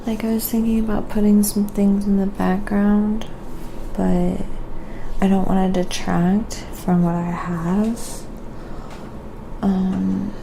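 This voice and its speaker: A soft-spoken woman chatting quietly and casually while painting on an ASMR live stream, often murmuring to herself. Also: mid-range voice, flat delivery, takes it slowly, vocal fry, background noise.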